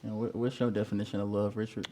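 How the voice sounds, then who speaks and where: A man's voice: fairly low pitch, level tone, fast talker, mellow, a little hiss or hum. A man hosting a casual dating-show follow-up interview, asking questions in a laid-back, slangy way. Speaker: host.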